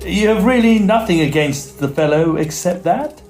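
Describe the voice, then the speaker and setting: A man's voice: high-pitched, expressive, moderate pace, a little mellow, faint music behind. A man playing a genial, studious young Oxford student in a Victorian audio drama, with a British accent and polite, clipped period speech. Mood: dry, curious. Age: young adult.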